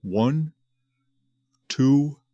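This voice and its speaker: An actor playing a calm, reassuring hypnotist doctor in an audio drama, with a measured, soothing, commanding delivery. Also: male voice, medium pitch. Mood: firm, reassuring.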